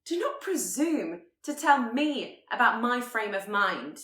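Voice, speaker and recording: A woman voicing a headstrong, sharp-tongued young gentlewoman in a period romance audiobook, formal and pointed. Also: fairly high pitch, big pitch swings, takes it slowly, reverberant, voice pushed up, full character voice. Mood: defiant.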